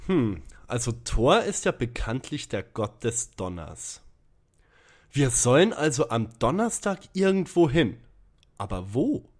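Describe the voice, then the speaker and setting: A man's voice: mid-range voice, very animated, measured pace, husky. A man playing a sarcastic, grumbling young detective with a sceptical manner in an audio drama parody. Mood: sardonic. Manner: dry, sceptical, sardonic.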